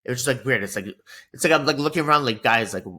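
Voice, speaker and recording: Bright-voiced man rambling in casual chat. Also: mid-range voice, very fast. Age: 30s. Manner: rambling, heavy on filler words. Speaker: podcast co-host.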